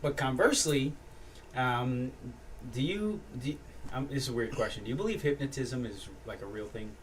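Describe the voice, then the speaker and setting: Male actor guest, animated storyteller, sits a little back from the mic with faint room noise. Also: mid-range voice, normal speed, distant mic, poor audio. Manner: animated storyteller, laughs often. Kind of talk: casual chat.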